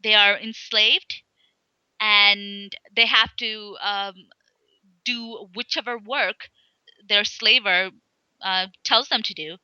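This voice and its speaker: A young woman from Sri Lanka, an interview guest speaking in a halting way with many fillers and restarts. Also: medium pitch, fairly even intonation, pushed and bright, clear, resonant tone, dry recording, lo-fi sound. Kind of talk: casual interview talk.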